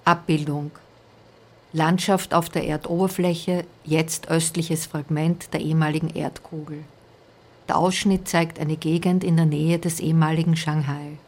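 A woman's voice: low voice, level tone, measured pace, darkish tone, a little hiss or hum. A woman reading factual captions as scripted narration.

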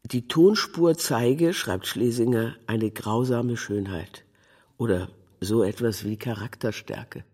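Husky man reading literary prose. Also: medium pitch, takes it slowly, warm tone. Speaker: narrator, reader of a literary text. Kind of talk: scripted literary narration.

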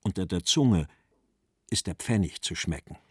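A man with a fairly low voice recites literary texts in a solemn tone. He takes his time over the words, and the sound is dry, with no room around it. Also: animated, moderate pace, slightly rough, dry recording. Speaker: reader of quotations. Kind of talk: literary recitation of poetry and letters.

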